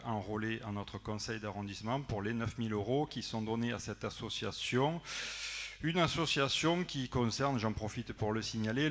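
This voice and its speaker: A man speaking up in a municipal council meeting, halting with many fillers and restarts as he raises a point. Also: mid-range voice. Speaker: opposition council member. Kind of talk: formal council remarks, off the cuff.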